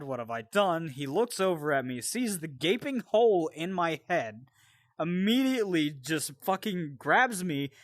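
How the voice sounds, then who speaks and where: A man with a fairly high voice tells a story from his own life in a casual, animated style. He swears freely and drifts into explanatory asides. The sound is dry, with very little room. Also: no echo. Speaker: storyteller and co-host. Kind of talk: casual personal storytelling with banter.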